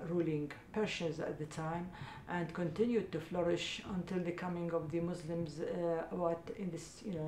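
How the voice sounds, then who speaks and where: A middle-aged woman from Iraq speaks in an earnest, halting way, her talk full of small hesitations. Her voice is low, mellow and gravelly. The room is echoey, and a faint rumble sits underneath. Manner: hesitant, many uh fillers, earnest. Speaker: charity founder. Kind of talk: interview answers.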